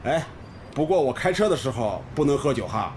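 Man acting a lively, easygoing village driver. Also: medium pitch, a little fast, noisy background, lightly acted. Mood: jovial. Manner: folksy, jovial. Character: easygoing, obliging male village delivery driver. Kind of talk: radio drama acting. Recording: faint outdoor vehicle ambience behind.

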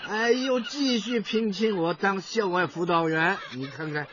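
A proud old boat builder in his seventies, a doting radio-play grandfather. His voice is bright and carrying, with hearty exclamations. The recording is lo-fi with faint noise behind. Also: sits high, a little slow. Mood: pleased. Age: 70s. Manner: hearty, chuckling, exclaiming old-man talk. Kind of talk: radio drama acting.

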